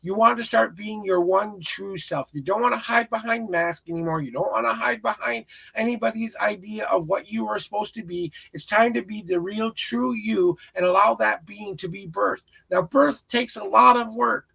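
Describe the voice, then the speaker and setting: A man with a crackly, raspy voice giving an earnest, encouraging and rambling tarot reading as a live stream host. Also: high voice, moderate pace, hardly any pauses, bright, forceful tone. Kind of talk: spiritual tarot reading, casual guidance to a viewer.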